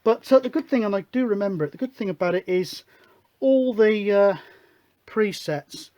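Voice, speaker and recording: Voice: medium pitch, normal speed, dark tone. A hobbyist radio show host giving an informal hands-on technical demonstration, chatting casually and thinking aloud.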